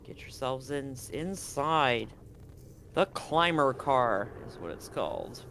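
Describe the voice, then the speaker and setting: A man's voice: medium pitch, expressive, slow pace, fairly bright tone, a little hiss or hum. A man narrating as game master of a tabletop roleplaying game, often correcting himself mid-sentence as he describes the scene. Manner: rambling, self-correcting narration.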